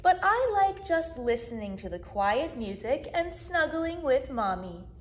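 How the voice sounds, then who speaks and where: Young woman, high-pitched animated storytime reader. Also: slight background noise. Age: 20s. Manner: animated, sing-song, drawn-out words. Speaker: children's music class educator. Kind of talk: storytime read-aloud for young children. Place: small plain indoor room, seated.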